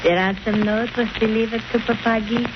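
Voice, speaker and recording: Composed villainess played by an actress, muffled radio. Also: female voice, on the high side, steady tone, a little fast, natural voice. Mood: cool, composed. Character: cool, composed villainess soothing a nervous accomplice. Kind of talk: 1930s radio drama acting. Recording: noisy muffled old radio recording.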